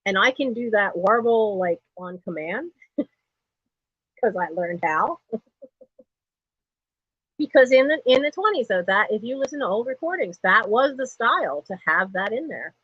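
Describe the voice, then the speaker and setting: Middle-aged lady w a low speaking voice, chatty and rambly, hosting a live sale, dull lo-fi stream sound. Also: lively intonation, normal speed, long pauses, breathy edge, no room sound. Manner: chatty and digressive, laughs often. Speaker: host of a live online sale. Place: home.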